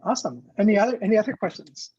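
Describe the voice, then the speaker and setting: Man, rambling cooking teacher, husky voice, dry dull call audio. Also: high-pitched, lively intonation, very fast, darkish tone, no echo. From Ecuador. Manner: loose, rambling, many fillers and restarts. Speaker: cooking instructor. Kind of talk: casual online cooking class.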